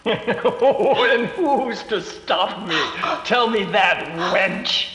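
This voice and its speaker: A man playing a brutal, mocking thief from centuries ago in a radio drama, with rough archaic speech. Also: high voice, very slow, bright, forceful tone, heavily acted. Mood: sneering, mocking. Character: menacing male thief of long ago, sneering and brutal. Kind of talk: 1930s radio acting. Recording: echoey long reverb, clicks and noise.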